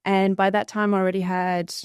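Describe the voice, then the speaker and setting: A woman speaks in a mid-range, smooth voice. She tells her story fluently and with poise, as a podcast guest. The recording is close and dry. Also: medium pitch, little pitch movement, fairly quick, no echo. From Bulgaria, raised in Australia. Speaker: guest entrepreneur. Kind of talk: podcast interview.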